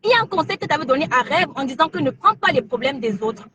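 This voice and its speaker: Fast, very high-voiced woman pushing in. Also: expressive, a crowd in the background. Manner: pushy, repeats herself to get the floor. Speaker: panelist. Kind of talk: heated debate. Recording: many voices talking over in the background.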